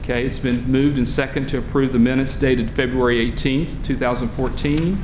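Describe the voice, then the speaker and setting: Loud man chairing a meeting, echoey room. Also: medium pitch, fairly even intonation, noisy background. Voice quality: self-described loud voice. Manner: halting, with many fillers and restarts. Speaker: commission chair. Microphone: meeting-room microphone.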